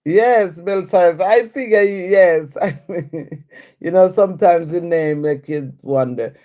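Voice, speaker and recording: A woman's voice: on the low side, slow, dark tone. A woman hosting a casual live stream, reading viewer comments aloud and answering them in a chatty way with frequent laughs.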